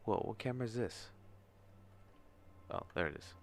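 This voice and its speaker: A man's voice: on the low side, animated, normal speed, a little hiss or hum. A man hosting a casual tutorial livestream, talking himself through each step informally as he works. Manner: informal, self-talking, murmuring through steps. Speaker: livestream host, animator. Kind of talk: casual screen-share tutorial livestream, thinking aloud. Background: music under the voice.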